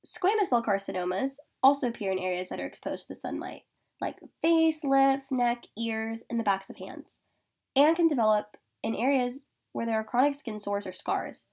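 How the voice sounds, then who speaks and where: A woman's voice: fairly high pitch, vocal fry, darkish tone. A young woman giving an informational class presentation over slides, congested from a sinus infection and reading steadily through lists of facts. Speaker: student presenter.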